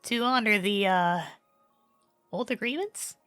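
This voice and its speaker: A woman playing a dutiful young queen in an animated fan dub, courteous and earnest, a little hesitant and unsure. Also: medium pitch, a little slow, clear, resonant tone, no echo, faint music behind, close to an everyday voice.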